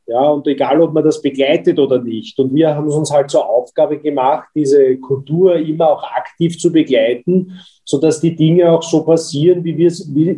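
A man's voice: fairly high pitch, mellow, poor audio. A man in his fifties with an Austrian German accent, a company executive answering interview questions thoughtfully, with frequent hesitations. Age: 50s. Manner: thoughtful, many hesitations and fillers.

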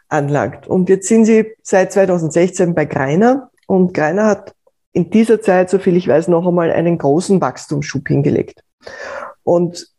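A woman hosting a business podcast, asking formal, measured questions. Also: fairly low pitch, mellow, husky. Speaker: podcast host. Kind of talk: interview.

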